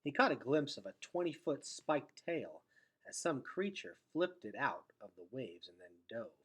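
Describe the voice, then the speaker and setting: A man reads a children's novel aloud like a teacher to a class. His voice is husky and middling in pitch, with big melodic swings. He shapes phrases dramatically, often letting them sink into a hush. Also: very animated, moderate pace. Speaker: teacher reading aloud to students. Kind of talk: expressive storybook read-aloud.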